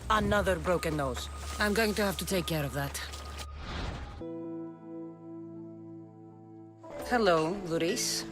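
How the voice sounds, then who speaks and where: Woman playing tough sarcastic young merc lady, swingy pitch, dry n blunt, game dialogue over music. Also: natural voice. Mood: wry, weary. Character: tough, sardonic young female mercenary.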